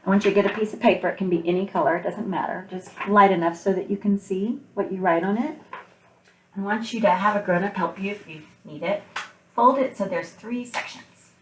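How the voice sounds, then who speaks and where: A woman's voice: mid-range voice, steady tone, brisk, echoey room, slight background noise, low-quality recording. A woman speaking as a kindergarten teacher, asking questions and explaining simply and patiently, step by step, to young children.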